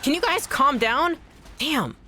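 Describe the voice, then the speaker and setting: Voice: high-pitched, expressive, slow, bright, forceful tone, slight background noise. A streamer whose first language is Anishinaabemowin, chatting casually with viewers while playing, swearing and yelping at enemies mid-sentence. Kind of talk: casual gaming stream chat.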